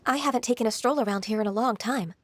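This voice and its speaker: A woman playing a gentle, earnest young half-immortal in a video game, polite, measured and slightly hesitant. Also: fairly high pitch, slight background noise, natural voice. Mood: wistful. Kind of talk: anime-style video game acting.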